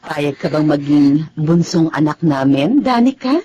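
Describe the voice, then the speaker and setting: An actress in a Filipino radio drama playing a gentle, motherly woman who talks in a soothing, expressive way. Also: low-pitched, unhurried, lo-fi sound, slight background noise. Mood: tender, happy.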